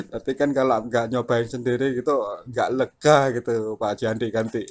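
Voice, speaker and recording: A man's voice: mid-range voice, fairly even intonation, moderate pace. A man chatting casually about technical matters as an enthusiastic radio hobbyist.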